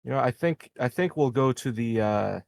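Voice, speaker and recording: A man's voice: medium pitch, lively intonation, normal speed, vocal fry, no echo, studio quality. A man chatting casually as a player in a tabletop game, hesitant as he thinks aloud. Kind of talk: casual chat.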